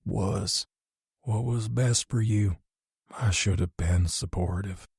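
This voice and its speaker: A man voicing a gentle, loving father near death, speaking softly and weakly in a slow, low voice. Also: vocal fry, breathy edge, no echo, clean studio sound, exaggerated voice. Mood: tender. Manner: soft, weak, slow speech. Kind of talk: audiobook character voice.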